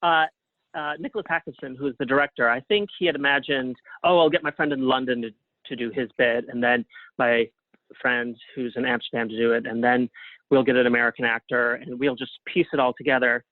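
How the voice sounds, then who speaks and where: A man speaking as an interview guest in casual conversation, with a reflective, halting way of phrasing things. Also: medium pitch, steady tone, no room sound. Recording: thin phone-like line.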